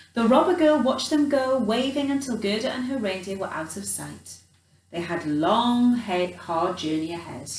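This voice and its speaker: A roomy recording, set back from the mic, carries a woman's storytelling voice. She reads for children with theatrical emphasis and a slow, swooping delivery, dropping in chatty asides. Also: medium pitch, slight background noise. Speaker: children's storyteller in character as a Christmas elf. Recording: roomy echo, distant from the mic.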